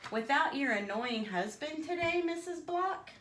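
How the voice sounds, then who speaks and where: A woman plays a rude, self-important pig from a children's storybook. He talks with theatrical pomp and very lively intonation. The room adds clear echo. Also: high voice, a little slow, slight background noise, pitched above the performer's own voice. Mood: snide. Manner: pompous, theatrical. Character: vain, rude male pig, snidely pompous.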